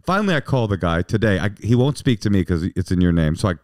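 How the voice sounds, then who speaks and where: A man's voice: lowish voice, fast talker, dry recording. Guy cohosting a podcast, jokey, voice swings up and down a lot, dry close sound. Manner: jokey, animated, acts out quoted voices. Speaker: podcast co-host. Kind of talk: casual banter.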